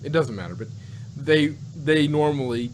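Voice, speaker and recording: A man in casual, rambling fan discussion as a review co-host, halting and repeating himself as he circles back mid-sentence. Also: on the high side, animated. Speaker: podcast co-host reviewing audio drama. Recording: clearly noisy, humming background.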